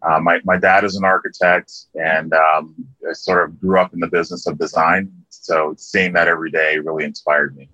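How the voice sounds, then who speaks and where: Deep-voiced man with a dull, dry sound. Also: moderate pace, no echo. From Liberia. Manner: relaxed, many ums and restarts, laughs easily. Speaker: interview guest, landscape architect. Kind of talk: casual interview conversation.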